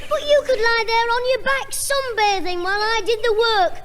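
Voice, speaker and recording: A cheeky high-voiced schoolboy. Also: male voice, fairly even intonation. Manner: cheeky, quick wisecracking. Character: cheeky scheming young schoolboy. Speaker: comic lead. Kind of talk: 1960s radio comedy acting. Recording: hissy old radio recording with audience.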